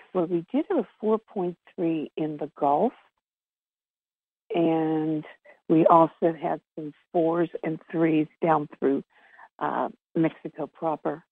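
A woman with a gravelly, husky voice giving an informal region-by-region report as a presenter on a conference call, over a narrow phone line. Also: deep voice, no echo. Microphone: telephone.

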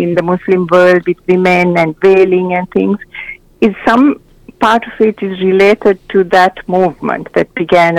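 An older woman from Sri Lanka, a guest telling her story in a measured, explanatory way. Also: lowish voice, creaky, no room sound, poor audio. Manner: measured, explanatory, with small restarts. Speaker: guest, memoir author and mental health counselor. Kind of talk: reflective interview storytelling.

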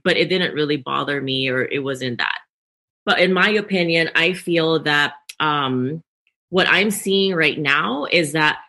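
A woman's voice: deep voice, pushed and bright. A woman from the Philippines, a guest in a casual interview, thinking aloud with many hesitations and filler words.

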